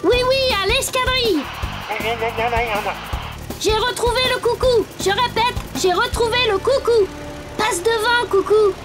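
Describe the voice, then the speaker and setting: A small wooden puppet boy a children's cartoon, pitched very high. He is cheerful, polite and eager, speaking childlike with exclamations, over clear background music. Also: steady tone, measured pace, pauses often. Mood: excited, calling. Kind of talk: French children's cartoon dub.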